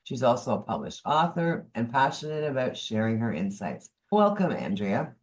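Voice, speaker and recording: A woman's voice: rumbling low, very animated, moderate pace, darkish tone, a little hiss or hum. Podcast host: woman, prepared interviewer delivery, posing questions to a guest.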